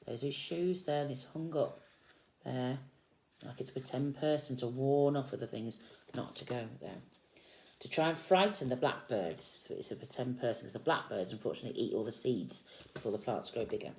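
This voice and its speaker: A woman reads a picture book aloud to young children like a teacher, expressively, with gently explained asides. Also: a bass voice, echoey room, slight background noise, low-quality recording. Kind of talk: animated storybook read-aloud with explanatory asides.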